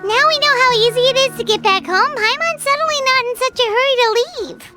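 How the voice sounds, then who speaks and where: A woman voicing a small, excitable fairy girl companion in an anime video game, childlike, squeaky and blunt. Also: a little fast. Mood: settled, musing. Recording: voice over game music.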